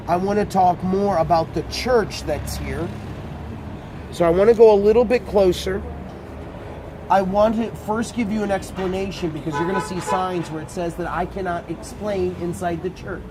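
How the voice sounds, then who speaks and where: A male tour guide with a booming voice talks a group through a site, animated and conversational. He's recorded outdoors, with street and vehicle noise clearly audible around him.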